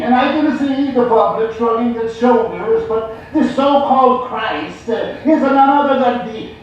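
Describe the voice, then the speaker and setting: A woman playing a defiant, blasphemous heretic poet, ranting deliberately and theatrically on stage. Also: on the high side, darkish tone, close to an everyday voice. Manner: deliberate, declamatory. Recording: dull, very echoey, distant stage sound.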